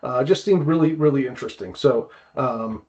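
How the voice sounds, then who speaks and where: A man's voice: mid-range voice, normal speed, a little mellow. Dull-sounding man explaining shop talk informally. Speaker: guest climbing wall consultant. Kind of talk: conversational expert explanation in an interview. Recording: dull, lo-fi audio.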